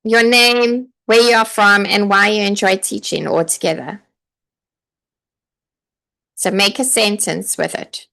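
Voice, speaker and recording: A woman's voice: medium pitch, fairly even intonation, a little slow, gravelly, pauses often, bright, forceful tone. A woman coaching aspiring online teachers in a live stream, chatty and encouraging, with many "like" and "okay" fillers. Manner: chatty, encouraging, reads viewer comments aloud. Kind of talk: live online training session.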